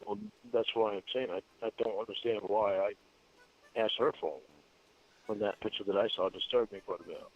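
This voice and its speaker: A man answering questions in an interview, explaining himself haltingly and repeating his words. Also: lowish voice. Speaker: suspect being questioned. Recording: dull lo-fi recording with faint music.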